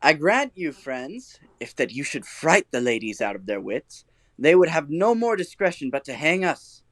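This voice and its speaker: A man's voice: a little high, big pitch swings, normal speed, a bit bright. A man playing a boastful, eager workman and amateur actor, showy and theatrical, in a comic Shakespearean audio play.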